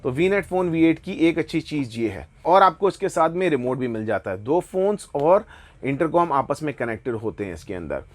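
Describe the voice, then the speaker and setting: A man with a Pakistani accent and a slightly higher-pitched voice talks through products like an online seller. He explains things casually and with enthusiasm, often dropping English phrases into his sentences. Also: big pitch swings, fairly quick. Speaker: online motorbike gear seller presenting products.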